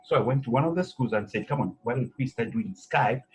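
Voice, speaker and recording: A man's voice: mid-range voice, animated, brisk, darkish tone, husky, low-quality recording. Rambling Zambian-born man in an interview. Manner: rambling, many fillers and "you know". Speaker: president of an education institute. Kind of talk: conversational interview answers.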